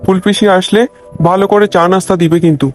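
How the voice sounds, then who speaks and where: A man's voice: high voice, fairly even intonation, fast, over music, close to an everyday voice. A man playing a blunt, short-tempered young shopkeeper husband in a cartoon. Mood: exasperated. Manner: scolding, blunt. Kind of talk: cartoon dubbing.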